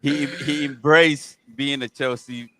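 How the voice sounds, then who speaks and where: A man's voice: mid-range voice, steady tone, slow, a bit bright. A man co-hosting a casual football chat, who laughs as he retells stories. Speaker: podcast co-host.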